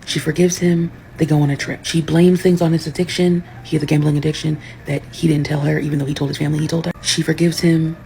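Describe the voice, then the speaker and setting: A woman's voice: low-pitched, little pitch movement, quick pace, creaky, hardly any pauses, mellow, a little hiss or hum, poor audio. A woman recapping a story in a sardonic, rhythmic way. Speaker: commentator.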